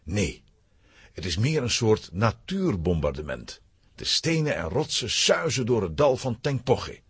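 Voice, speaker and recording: A man narrating a children's adventure audio drama in an animated, suspenseful manner. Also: on the low side, a little slow, husky, no echo, full character voice.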